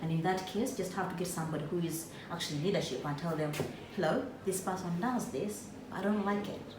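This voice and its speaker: A woman's voice: lowish voice, quick pace. A woman, interviewing a student in casual conversation with lots of encouraging hums. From Uganda. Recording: echoey room, far from mic, background noise.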